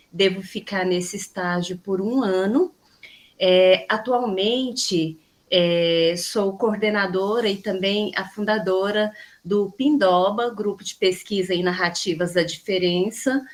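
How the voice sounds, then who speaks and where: A Brazilian woman anthropology professor introducing herself on a video call, measured and full of pauses. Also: medium pitch. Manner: slow, measured, many hesitations.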